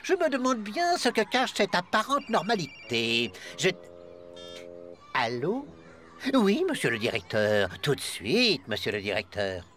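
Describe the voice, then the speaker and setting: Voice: medium pitch, huge pitch swings, a little slow, a bit bright. An actor voicing a stern, smug, self-important school staff member in a French children's cartoon. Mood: stern, suspicious.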